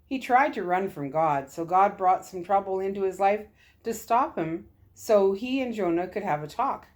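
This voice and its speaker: A woman voicing a calm, kindly military lieutenant in a story, gentle and earnest. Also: medium pitch, normal speed, close to an everyday voice. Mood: gentle, reassuring.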